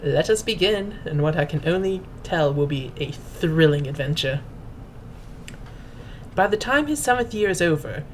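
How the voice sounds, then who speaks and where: A woman's voice: lowish voice, a little hiss or hum, rough recording. A woman host reading aloud as a dramatic narrator, in a pompous, mock-grand and formal manner. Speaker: host and reader. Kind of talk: theatrical dramatic reading of fan fiction.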